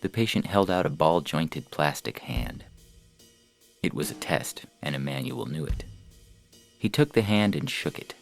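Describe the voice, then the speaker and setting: A man narrates a scripted story in a low register. There is noticeable fry in the voice, and music plays lightly behind the reading. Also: lively intonation, unhurried.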